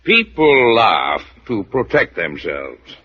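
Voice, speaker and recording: A man playing an earnest, clinical psychiatrist with measured delivery in a 1940s radio programme. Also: on the low side, big pitch swings, breathy edge, exaggerated voice. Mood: solemn, clinical. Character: earnest bearded male psychiatrist. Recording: dull 1940s radio recording.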